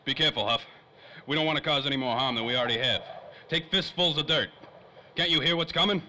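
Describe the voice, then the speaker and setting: A man's voice: on the high side, fast, sharp tone. A man playing a hardboiled, wisecracking private detective in a 1930s-style radio drama, with clipped tough-guy talk. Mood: firm, urgent.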